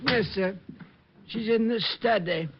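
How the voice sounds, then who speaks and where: A man's voice: sits high, slow. A man playing a deferential, formal household butler in a 1950s radio drama, polite in manner. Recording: muffled, noisy vintage radio recording.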